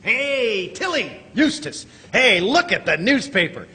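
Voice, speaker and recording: An actor plays an earnest, excitable small-town family man in a stage radio drama. His voice is high and husky, swinging widely as he stammers and repeats himself. It rings through a reverberant theater. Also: unhurried, exaggerated voice. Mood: proud, excited. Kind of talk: old-time radio drama acting. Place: theater stage, live performance.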